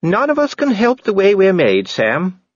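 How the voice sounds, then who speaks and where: A man voicing a kindly aristocratic lady who speaks in a high, gentle, proper way. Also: huge pitch swings, normal speed, no echo, muffled recording, full character voice. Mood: fond.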